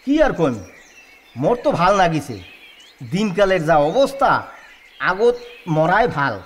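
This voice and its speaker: A man's voice: sits high, big pitch swings, a little slow. A sly village joker, played by a man, with a raised voice that drawls out teasing remarks. He sounds like one of the friends trading barbs in a rural radio play. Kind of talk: rural audio drama acting.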